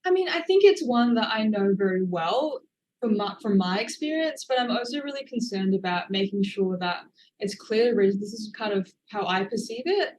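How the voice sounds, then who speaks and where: A woman's voice: medium pitch, lively intonation, normal speed, a little mellow, poor audio. A woman, an author, answering interview questions in a thoughtful, hedging way with many fillers. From Australia. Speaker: author guest.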